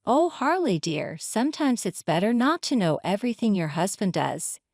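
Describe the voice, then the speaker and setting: Woman reader as smug older mother-in-law, dismissive, animated pitch, dry story recording. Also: moderate pace, dry recording. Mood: falsely innocent, smug. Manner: condescending, dismissive. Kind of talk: dramatized story reading.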